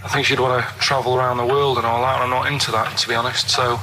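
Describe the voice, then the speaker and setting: A man's voice: mid-range voice, level tone, quick pace, noisy background. A man chatting casually as a radio co-host, downbeat, grumpy and matter-of-fact.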